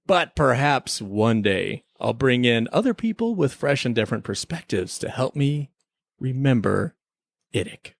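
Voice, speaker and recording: A male podcast host talks casually in a dry, close recording. His delivery is wry and mock-earnest, sliding between relaxed review chat and playful skit. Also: medium pitch, unhurried, creaky, no room sound.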